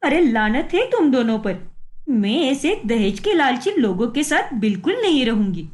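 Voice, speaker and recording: A woman's voice: a little high, big pitch swings, moderate pace. A woman voicing an earnest, devoted young wife in a cartoon moral story, speaking emphatically. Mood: indignant. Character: devoted young wife, supportive, righteous when wronged.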